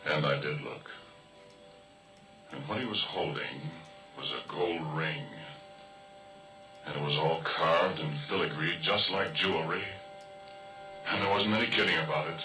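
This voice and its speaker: A very deep-voiced oil-rig hand spinning an eerie tale in folksy, rambling fashion. It is a bright, vintage radio drama sound, roomy and distant, with music behind. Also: male voice, a little slow, pauses often, heavily acted. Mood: hushed wonder. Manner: folksy, rambling storyteller with homespun grammar. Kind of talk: old-time radio drama narration.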